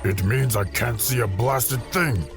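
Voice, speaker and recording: Man voicing a gravelly, blunt half-ogre gladiator. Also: medium pitch, unhurried, music in the background, voice pushed up. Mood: cross, grudging. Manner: blunt, grumbling.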